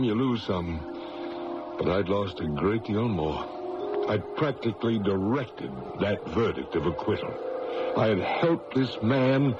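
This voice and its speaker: An actor playing a determined male judge in a radio drama, earnest, formal and resolute. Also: medium pitch. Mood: brooding, resolute. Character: grave, determined male judge bent on justice. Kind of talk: radio drama acting with first-person narration. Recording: muffled, noisy old radio recording.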